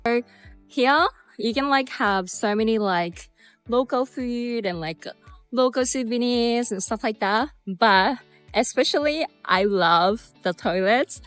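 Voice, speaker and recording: A woman's voice: medium pitch, a bit bright, no room sound. A Japanese woman in her twenties with a Japanese accent tinged with Australian and British sounds, chatting casually through a Q&A vlog, bubbly and full of "like" fillers. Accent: Japanese with Australian and British traces. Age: 20s. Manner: bubbly, lots of "like" fillers and laughs. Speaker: vlogger.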